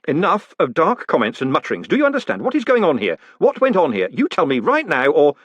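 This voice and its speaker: Man reading as brisk, wry postmaster, lively delivery, dry close mic. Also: on the high side, breathy edge, no echo, highs cut off, voice pushed up, full character voice. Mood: stern. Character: quick-witted male postmaster, brisk and wry.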